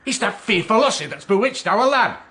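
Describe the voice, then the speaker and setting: Aggrieved old servant for radio drama; forceful, piercing high voice, full of complaint. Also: male voice, expressive, fairly quick. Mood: indignant. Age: older. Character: indignant, grumbling old male servant.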